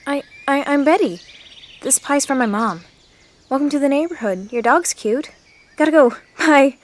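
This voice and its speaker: A woman's voice: high-pitched, moderate pace, slight background noise, close to an everyday voice. A woman playing an earnest, insecure girl of twelve confiding in her diary in a radio drama. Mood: excited, shy. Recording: music under the voice.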